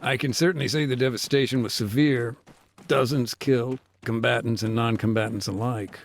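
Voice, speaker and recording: An actor playing a weary male aid worker who recounts events in a measured, laconic way during an interview in a video game. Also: medium pitch, fairly even intonation, lightly acted. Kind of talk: game cutscene dialogue.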